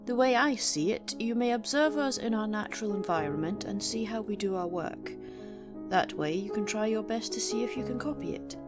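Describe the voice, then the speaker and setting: A woman playing an imperious, centuries-old but young-looking female vampire, speaking in a formal, measured, haughty way in an audio roleplay. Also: mid-range voice, expressive, close to an everyday voice. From England. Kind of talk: audio roleplay monologue to the listener. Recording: music under the voice. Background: plucked guitar music.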